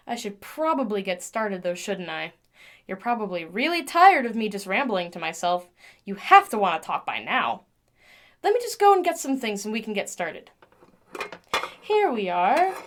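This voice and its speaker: A woman playing a chatty, gentle mechanic in an audio drama, rambling affectionately to a broken machine. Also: mid-range voice, close to an everyday voice. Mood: fond, chatty. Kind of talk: audio drama monologue, conversational.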